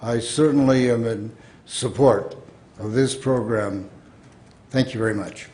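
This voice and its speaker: A man's voice: medium pitch, measured pace, a little mellow, slight background noise. A man giving an enthusiastic, persuasive public comment to a city council.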